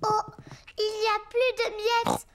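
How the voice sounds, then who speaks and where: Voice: very high voice, completely flat delivery, slow, full character voice. A performer voicing a confident little girl pig in a French children's cartoon dub, childlike and eager. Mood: curious, eager. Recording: loud music behind the voice.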